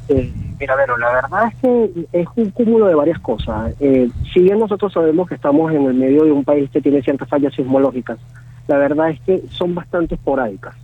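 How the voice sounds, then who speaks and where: A man in his thirties with a Venezuelan accent, a guest on the phone, talking haltingly with many fillers and restarts. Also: a little high, lively intonation, husky, dry recording. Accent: Venezuelan Spanish. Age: 30s. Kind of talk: phone interview. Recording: tinny phone line.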